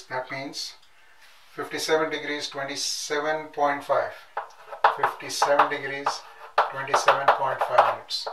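Man, middle-aged, chalkboard lecture, methodical, repeats figures, roomy classroom sound, distant from mic. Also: fairly high pitch. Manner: methodical, repeats numbers slowly while writing. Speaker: nautical science instructor. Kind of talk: chalkboard lecture, working through calculations. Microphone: room mic at a distance, back often turned. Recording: echoey room, off-mic, clear noise.

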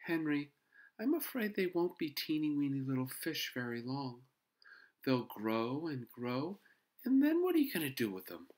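Mother figure acted by an adult reader, high voice, animated intonation, wary, mildly exasperated, children's story. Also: a little slow. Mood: resigned.

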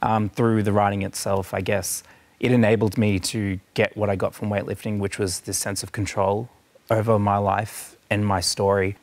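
Flat, gravelly man, earnest and reflective. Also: on the low side, normal speed, no room sound. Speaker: studio guest. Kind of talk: studio discussion. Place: large television studio.

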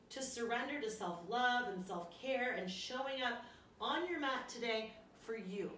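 Woman fitness instructor, bright forceful voice, chatty, encouraging, casual workout cues, echoey living room. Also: fairly high pitch. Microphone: camera a few meters away. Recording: roomy, distant mic, faint room noise.

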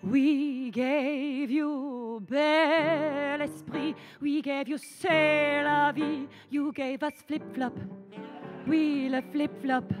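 A woman's voice: sits high, little pitch movement, extremely slow, over music. A woman playing a haughty, theatrical French chanteuse with a put-on French accent, singing comic cabaret songs. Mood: mocking song. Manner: camp, mocking, flamboyant, sung with musical backing. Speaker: cabaret performer. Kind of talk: comic cabaret song and patter.

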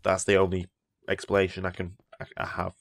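A man's voice: low voice, completely flat delivery, a little fast, gravelly. A man who is ill, rambling casually through gameplay commentary and easily impressed by what he sees. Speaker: Minecraft let's player.

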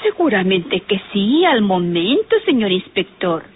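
An actress playing an earnest, formally polite woman giving testimony in an old radio drama, husky and deliberate, on a tinny recording. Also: medium pitch, sing-song. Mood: assured, formal. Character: earnest unmarried sister-in-law giving testimony to a police inspector. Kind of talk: old Spanish-language radionovela acting.

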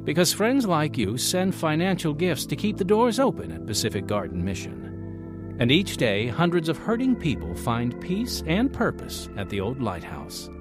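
A man's voice: a little high, very animated, moderate pace. An American man announcing a radio program with scripted dramatic narration and theatrical, emphatic phrasing. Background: music.

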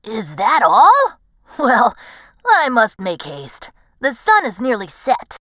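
A woman's voice: a little high, takes it slowly, dry recording, clean studio sound, exaggerated voice. A woman voicing a young male fox in a storybook, earnest and naive with a confident streak. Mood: eager, confident. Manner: earnest, puzzled, excitable.

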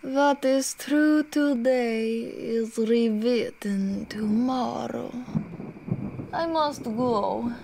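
Slow, mysterious Russian woman. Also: a little high, gravelly, warm tone, full character voice. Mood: cryptic, guarded. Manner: cool, cryptic, terse. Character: mysterious, mercenary Russian woman with secret film. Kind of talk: audio drama acting.

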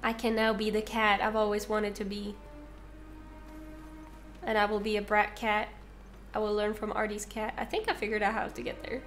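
A woman's voice: medium pitch, flat delivery, normal speed, long pauses, a bit bright, faint music behind. A young woman streamer, a little sick and congested, chatting casually and reading out her chat. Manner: bubbly, chatty, reads chat aloud.

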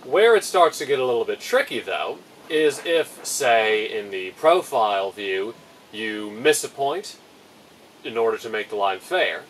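Young man explaining calmly, slightly distant. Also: medium pitch, a little hiss or hum. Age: young adult. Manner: clear, methodical, dryly humorous. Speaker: boatbuilding vlogger. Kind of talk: explaining a woodworking build to camera. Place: plastic-sheeted polytunnel workshop, indoors.

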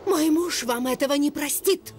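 An actress playing an anxious, dignified feline princess in a cartoon. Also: female voice, high voice, moderate pace, lightly acted. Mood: indignant. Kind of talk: cartoon dub. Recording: music behind the voice.

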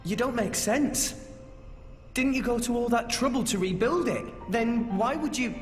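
Teenage boy adventurer, very high pitch, impulsive, direct, sincere, video game drama. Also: unhurried, soft background music. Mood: incredulous. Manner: direct, incredulous questioning. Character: earnest teenage boy hero, questioning and impulsive.

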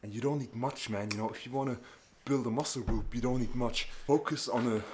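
A man's voice: mid-range voice, a little fast, a little mellow. A young man vlogging casually about his home gym, laid-back and slangy, swearing often. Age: young adult. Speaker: fitness vlogger. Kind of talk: casual vlog talk. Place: covered outdoor home gym at night. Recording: noisy camera audio.

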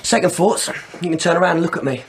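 An actor playing a menacing young deserter, husky, taunting and sneering in a radio drama. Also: male voice, fairly high pitch, fast, a little hiss or hum, close to an everyday voice, rough recording. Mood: gloating. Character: menacing young male army deserter with a gun.

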